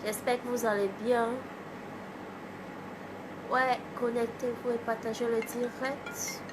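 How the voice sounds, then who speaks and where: A woman from Cameroon with a cold, hosting a casual livestream, greeting viewers by name and chatting in a halting way. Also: a little high, little pitch movement, background noise. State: has a cold. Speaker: livestream host, artist. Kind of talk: casual live chat with viewers.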